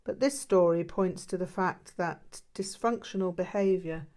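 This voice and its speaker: A woman reading an audiobook as narrator, in a measured, literary style. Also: lowish voice, darkish tone.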